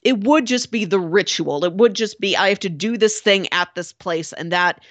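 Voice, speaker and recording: A woman speaks as a co-host in a casual film discussion, with a medium-pitched voice. She often slips into imagined dialogue to make her point. The sound is dry, with very little room in it. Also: normal speed, no room sound, dull audio. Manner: acts out imagined dialogue while explaining. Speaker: podcast co-host discussing films.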